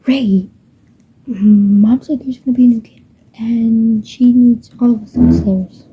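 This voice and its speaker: Cheerful eager young girl, excitable and bubbly, as a woman puts her on, soft and breathy, on a dull, muffled home recording with faint noise behind. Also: fairly high pitch, slow. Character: cheerful eager eleven-year-old girl.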